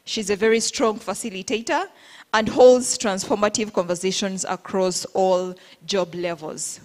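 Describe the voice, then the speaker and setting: A woman acting as event MC, giving a formal, measured introduction of the next speaker. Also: mid-range voice. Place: large conference hall. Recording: long hall reverb.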